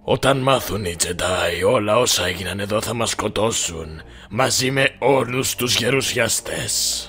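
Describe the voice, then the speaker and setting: A sinister old Sith lord speaks in a low, gravelly croak with a hard, cutting edge. He is a manipulative, commanding master in a dramatic film dub, deliberate and menacing over music. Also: pushed and bright. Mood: commanding, scheming.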